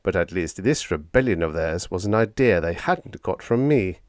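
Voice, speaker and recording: A man's voice: low voice, lively intonation, moderate pace, darkish tone, no room sound, clean studio sound. A man reading a story aloud as an audiobook narrator, in a dramatic scripted style.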